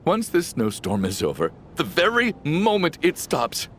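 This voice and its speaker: Grieving game father, stubborn and anguished, wide swings in pitch, faint score behind. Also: wildly swooping pitch, a little slow, exaggerated voice. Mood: desperate. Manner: anguished, pleading, rising to shouts. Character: grieving middle-aged father, stubborn and desperate. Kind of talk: video game voice acting. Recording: game audio with faint music.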